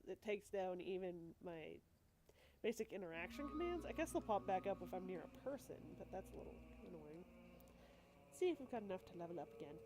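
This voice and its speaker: A woman's voice: medium pitch, mellow, slight background noise. A woman with a stuffy cold talking casually as a let's play host, explaining the game as she goes with wry asides. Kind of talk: casual gameplay commentary.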